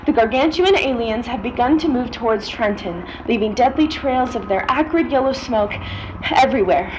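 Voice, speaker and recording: A woman's voice: a little high, animated, normal speed, a little mellow, lightly acted, rough recording. A woman playing a disgruntled eye-in-the-sky news reporter giving a live report in a radio drama. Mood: grim, reporting. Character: disgruntled female airborne news reporter watching alien invasion. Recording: faint vehicle noise and ambience.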